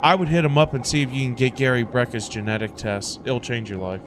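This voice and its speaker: A man livestreaming a game with casual commentary, excitable and slangy, often repeating himself. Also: medium pitch, fairly quick, background noise. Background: game music.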